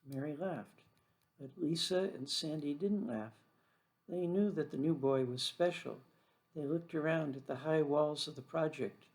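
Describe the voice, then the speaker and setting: A man narrates a children's story from a script. His voice sits a little higher than most men's, and he reads as a storyteller. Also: a little slow, darkish tone.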